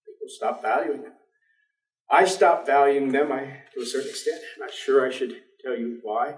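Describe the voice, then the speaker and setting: A man's voice: medium pitch, normal speed, natural voice. A man acting in a staged play reading as a despairing, stubborn professor, speaking in formal, precise, clipped phrases. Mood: bleak, weary. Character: despairing, weary intellectual male professor, curt and stubborn. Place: theatre stage. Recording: distant, echoey theatre stage recording.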